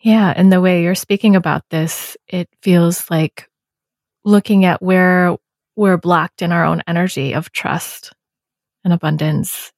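A woman's voice: lowish voice, fairly even intonation, measured pace, darkish tone, no room sound, studio quality. A woman hosting a podcast, speaking in a reflective, thoughtful way. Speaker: podcast host. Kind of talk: conversational interview.

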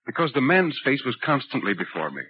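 An actor in a 1940s radio drama playing a curious, courteous gentleman in a ghost story, with an earnest, formal, theatrical delivery. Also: mid-range voice, big pitch swings, brisk, breathy edge. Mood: troubled, narrating. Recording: dull, noisy 1940s radio recording.

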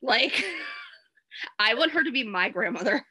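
A woman co-host with a bright, crisp voice, speaking in a casual conversational way. Her remarks come across as fond and admiring. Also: fairly high pitch, animated, unhurried, low-quality recording. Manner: warm, admiring fan remarks. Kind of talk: casual chat.

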